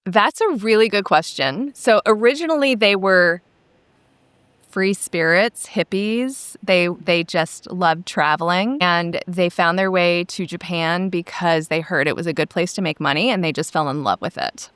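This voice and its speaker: A woman's voice: on the low side, unhurried, a bit bright, smooth, no room sound. A woman who grew up in Hawaii, a yoga teacher guest telling her story in an articulate, chatty way. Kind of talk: interview conversation.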